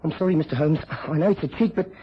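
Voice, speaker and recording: A man's voice: on the high side, fast talker, slight background noise, close to an everyday voice. An actor playing an anxious, earnest British policeman in a radio drama, apologetic and agitated. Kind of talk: mid-century radio drama acting. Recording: muffled old radio broadcast.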